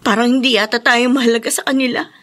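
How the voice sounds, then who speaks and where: A woman's voice: a little high, fairly even intonation, fast talker, fairly bright tone, natural voice. An actress playing a troubled, sorrowful young woman in a radio drama, speaking plaintively and earnestly. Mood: hurt.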